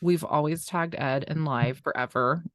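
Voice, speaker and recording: A middle-aged woman co-hosting a casual podcast, telling animated stories with lots of restarts and laughs. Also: sits low, normal speed, no echo, studio quality. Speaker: podcast co-host. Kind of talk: casual chat.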